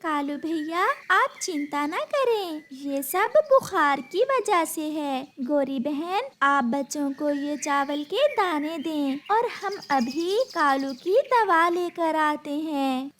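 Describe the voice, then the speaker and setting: A caring little female sparrow from a children's cartoon, voiced by a woman. She is gentle and anxious, with a high voice and unhurried delivery. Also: expressive, slight background noise, full character voice. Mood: reassuring.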